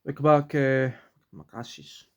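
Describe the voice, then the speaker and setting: A man's voice: medium pitch, takes it slowly, smooth. A young man, vlogging at home, rambling earnestly and restarting sentences as he searches for words. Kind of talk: rambling personal vlog.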